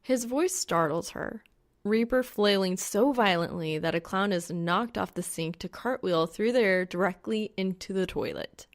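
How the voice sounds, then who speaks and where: A woman reading fan fiction as an audiobook narrator, in an expressive, scripted storytelling style. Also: mid-range voice, moderate pace.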